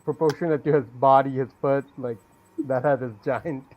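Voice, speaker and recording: A man's voice: medium pitch, fairly even intonation, moderate pace, darkish tone, rough recording. A man on a livestream panel, chatting casually with dry quips.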